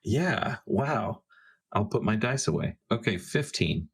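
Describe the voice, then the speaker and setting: A man's voice: lowish voice, big pitch swings, slow, slightly rough. A man chatting casually and without a script as a player in a tabletop roleplaying game. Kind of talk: casual, unscripted game talk.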